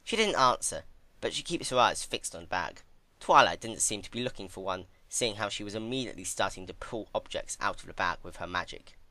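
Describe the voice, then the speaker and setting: A man giving a dramatic scripted narration of a dark fantasy story. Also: lowish voice, animated, fairly quick, no room sound.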